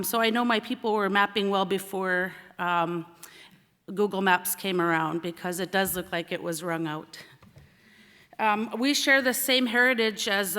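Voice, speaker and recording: A woman from St. Lawrence Island, Alaska, a native Siberian Yupik speaker, giving a measured conference speech as a panelist, with frequent short pauses. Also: mid-range voice, sharp tone. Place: large indoor conference hall. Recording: echoey hall sound.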